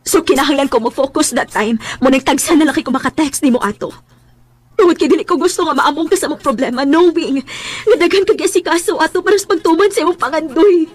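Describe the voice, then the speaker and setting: A woman's voice: high voice, fast, natural voice. A woman acting in a radio drama as an emotional, pleading mother hiding a painful past. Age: adult, mother of a school-age child. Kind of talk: radio drama acting in Bisaya with some English.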